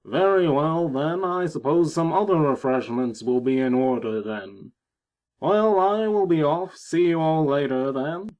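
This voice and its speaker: A man's voice: a little high, measured pace, lightly acted. A man playing a formal, obliging tenant in an audio drama. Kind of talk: fan audio drama acting. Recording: dull, lo-fi audio.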